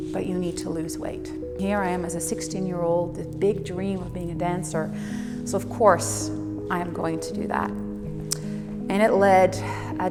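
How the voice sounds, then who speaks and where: A woman in her forties shares a reflective personal story. Her voice has a noticeable fry and she speaks without hurry, leaning on key words. It rings with long reverb, and music plays clearly underneath. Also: medium pitch. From Netherlands. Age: 40s. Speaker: fitness instructor and speaker.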